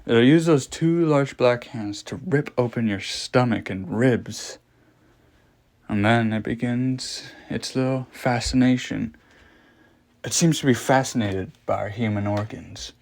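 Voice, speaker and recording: A man playing a morbidly fascinated scientist explaining a monster in a horror audio log, lecturing with dramatic pauses and rhetorical questions. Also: medium pitch, gravelly, a little mellow.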